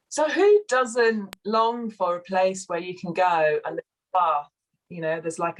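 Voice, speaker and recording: A soft-spoken woman with a slightly croaky voice, co-facilitating a video call, guiding a relaxation and sharing with hesitant pauses and fillers. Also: deep voice, rough recording. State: hoarse, croaky. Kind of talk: guided relaxation and casual sharing.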